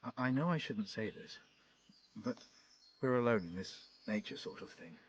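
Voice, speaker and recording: An actor playing a polite, nervous and naive young gentleman with an English accent in a vintage radio play, hesitant and well-mannered. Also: medium pitch, expressive, normal speed, muffled recording, natural voice. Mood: admiring, bashful. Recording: noisy vintage radio drama recording.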